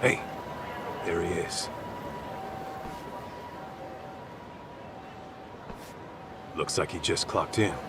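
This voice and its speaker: An actor playing a brash middle-aged detective in a video game dub, with an American accent and blunt, slangy delivery. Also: male voice, low voice, unhurried, heavy background noise. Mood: casual, alert. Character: brash, street-tough middle-aged male detective, gruffly fatherly. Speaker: private detective.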